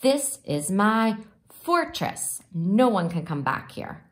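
A woman's voice: medium pitch, sing-song, slow pace. Woman as a stubborn, defiant little boy. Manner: defiant, blunt, declarative. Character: stubborn little boy guarding his territory.

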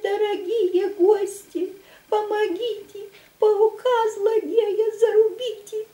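A woman voicing a frightened female fly from a children's tale, pleading for rescue in a drawn-out wail. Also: very high voice, fairly even intonation, dark tone, voice pushed up. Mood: terrified, pleading. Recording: echoey home room recording.

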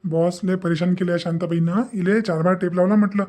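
Man acting a blustering cartoon kidnapper, high male voice, hurried, exasperated complaining. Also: fairly even intonation, darkish tone, close to an everyday voice. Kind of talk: Marathi cartoon comedy acting.

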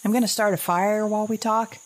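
A middle-aged actress playing an anxious, wry, weary survivor who records a halting, rambling diary in a naturalistic audio drama. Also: female voice, mid-range voice, steady tone, natural voice. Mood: nervous, determined.

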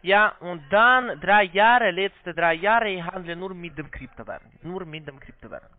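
A non-native speaker, a man with a foreign accent and halting, broken grammar, giving a phone sales pitch. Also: dry recording. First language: not German. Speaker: call-centre investment salesman. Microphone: telephone. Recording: muffled band-limited phone line.

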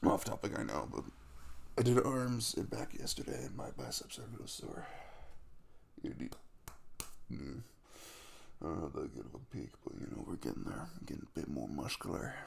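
Man acting as an easygoing, gravelly young crush. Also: deep voice, very animated, moderate pace, long pauses, heavily acted. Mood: casual. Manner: rambling, casual, jokey and reassuring. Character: easygoing, caring young male crush, playfully teasing. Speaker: audio roleplay performer. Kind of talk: intimate ASMR boyfriend roleplay, conversational.

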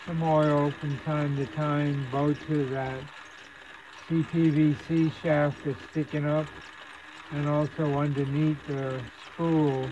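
A man casually narrating a hands-on repair demonstration at his workbench, with an unhurried, folksy manner. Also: on the high side, lo-fi sound. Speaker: hobbyist host demonstrating radio repair. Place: indoor electronics workbench. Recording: clear background machine whir and noise.

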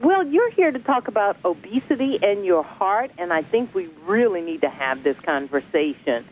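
Animated woman host on a tinny line. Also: medium pitch, normal speed. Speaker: talk show host. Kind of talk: broadcast interview. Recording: phone-like lo-fi line with faint noise.

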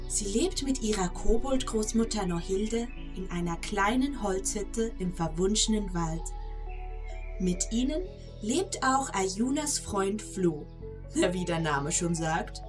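A woman narrating a scripted fairy tale for children as storyteller. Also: mid-range voice. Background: prominent background music.

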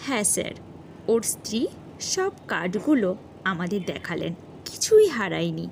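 A woman's voice: medium pitch, sing-song, moderate pace, gravelly, darkish tone. Lady doing a nervous-polite junior cop guy giving a report, horror audio story, hesitant, bit of bg noise. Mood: baffled, earnest. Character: deferential, hesitant male junior policeman reporting strange news.

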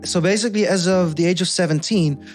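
A man's voice: high voice, fast, faint music behind. Dutch-accented young man, thoughtful guest. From Netherlands. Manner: thoughtful, many restarts and fillers, laughs easily. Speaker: podcast guest. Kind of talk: casual conversational interview.

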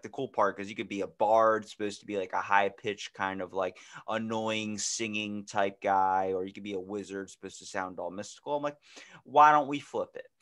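Bright-voiced young man chatting casually. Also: lowish voice. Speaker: podcast host. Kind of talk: casual chat.

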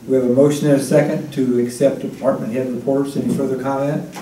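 A man's voice: mid-range voice, level tone, fast talker, warm tone, a little hiss or hum. A man chairing a town council meeting, easygoing and chuckling between agenda items. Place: town hall meeting room. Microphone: far room mic. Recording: roomy council chamber from far off.

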